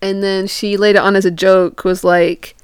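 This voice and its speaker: A woman's voice: medium pitch, level tone, normal speed, clean tone, no room sound, clean studio sound. A young woman telling personal stories in a casual, chatty way, with informal phrasing and quoted bits of conversation. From Portugal and England. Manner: informal, peppered with "like", acts out quoted questions.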